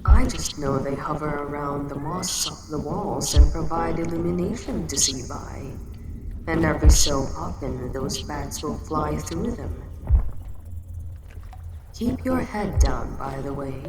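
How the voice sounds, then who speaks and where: Audio drama role, woman performer: giant kaiju queen, female, ancient and composed; deep register, very slow measured delivery, big echoing cave sound. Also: loud music behind the voice. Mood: calm, commanding. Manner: measured, matter-of-fact, slightly formal speech. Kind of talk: scripted roleplay audio drama monologue. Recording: very echoey cavern-like reverb, loud background noise.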